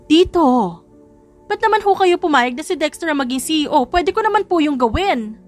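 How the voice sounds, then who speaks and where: A woman's voice: sits high, expressive, brisk, soft background music, pitched above the performer's own voice. A woman voicing a whiny, scheming relative after an inheritance, in a dramatised romance audiobook. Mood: whining, pleading.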